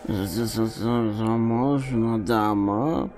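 A man's voice: on the low side, fairly even intonation, noisy background. A man playing a drunk, muddled, suspicious aristocrat in an audio drama, slurring and stammering as he rambles. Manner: halting, rambling.

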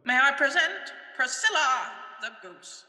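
A man's voice: extremely high pitch, lively intonation, unhurried, bright, forceful tone, heavily acted. A man playing an obedient palace servant to a king in a radio pantomime. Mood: eager, dutiful. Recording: echoey reverberant hall.

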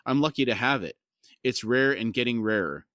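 A grim, stern scout with a low rumbling voice, as a man reads him aloud. He speaks steadily and without warmth. The recording is dry and close. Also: lively intonation, normal speed, dry recording, lightly acted.

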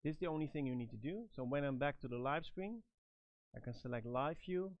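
A middle-aged man giving step-by-step product instructions in a halting, phrase-by-phrase manner. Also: medium pitch, dry recording, lo-fi sound. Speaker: product trainer and presenter.

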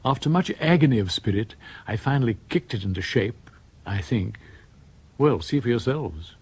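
An actor playing a dry, droll male storyteller with an English accent, narrating a radio play, his voice husky. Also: medium pitch, lively intonation, normal speed, a little mellow. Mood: confiding. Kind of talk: scripted radio drama narration.

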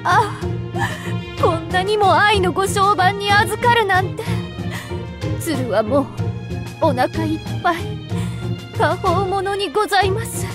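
High-voiced gushing crane-spirit lady. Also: takes it slowly, long pauses. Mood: overwhelmed, swooning. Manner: polite, theatrical, fangirlish, breathless outbursts. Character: gushing, refined crane-spirit seamstress woman, devoted and excitable. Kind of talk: Japanese game voice acting. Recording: background music under clean studio voice.

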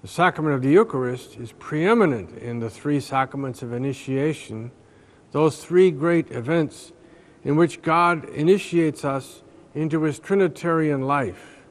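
An older male priest reads a prepared reflection aloud from a book. His voice sits somewhat high and moves at a slow, deliberate pace. It is recorded inside a large stone church. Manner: slow, measured reading from a book. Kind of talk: scripted reflection read aloud.

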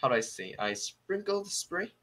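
A man's voice: fairly high pitch, very animated, normal speed, a bit bright. Hesitant young Korean man learning English. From South Korea. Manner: hesitant, searching for words, many fillers. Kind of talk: casual video-call conversation. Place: small room at home, indoors. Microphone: video call.

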